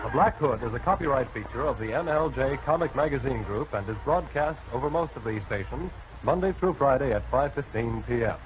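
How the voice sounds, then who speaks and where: A man's voice: medium pitch, animated, brisk. A man announcing a radio serial in a dramatic, declamatory 1940s melodrama style. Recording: muffled old radio broadcast, faint noise.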